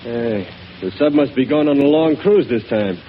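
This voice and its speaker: A man's voice: mid-range voice, animated, moderate pace, close to an everyday voice. A man playing a wary slangy henchman. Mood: uneasy. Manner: slangy working-class talk. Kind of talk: 1930s radio drama acting. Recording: dull old radio broadcast with hiss.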